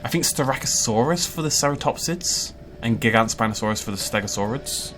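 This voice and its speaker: A man's voice: medium pitch, expressive, fairly quick, a bit bright, soft background music. A man giving casual, chatty commentary while building a park in a video game, laughing often and making playful asides. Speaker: game channel host.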